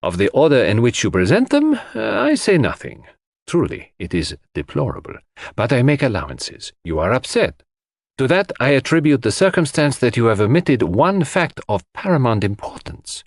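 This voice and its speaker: A man voicing a fussy, theatrical middle-aged detective in an audiobook, emphatic and precise with dramatic pauses. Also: medium pitch, huge pitch swings, dry recording, studio quality, pitched above the performer's own voice. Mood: reproving, indulgent. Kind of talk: audiobook character acting.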